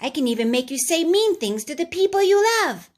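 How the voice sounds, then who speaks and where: A woman voicing a friendly, hairy red creature that is a child's anger, speaking softly and coaxingly in a storybook read-aloud. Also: sits high, very animated, a little fast, pitched above the performer's own voice.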